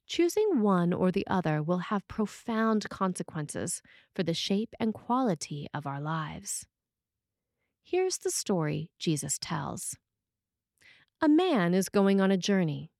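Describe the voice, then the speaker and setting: Woman priest reading a sermon, medium pitch, measured n deliberate, real dry close sound. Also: darkish tone, dry recording. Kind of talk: scripted sermon, read aloud.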